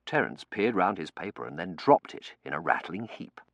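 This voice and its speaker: A man's voice: deep voice, big pitch swings, moderate pace, creaky, fairly bright tone, no room sound, heavily acted. A man voicing an anxious, girlish young daughter in an audiobook, fussing and exclaiming breathlessly. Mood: alarmed.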